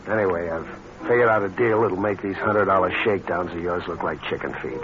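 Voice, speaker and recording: An actor with an American accent playing a smooth, cold-blooded schemer in a vintage radio crime drama, in terse hard-boiled slang. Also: male voice, low voice, fairly quick, crowd noise. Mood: slick, persuasive. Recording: muffled, noisy old radio recording.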